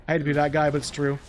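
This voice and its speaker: A man's voice: a little high, slight background noise. Chatty man playing a game. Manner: rambling and chatty, laughs often. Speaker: gameplay host. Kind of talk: casual let's-play chat.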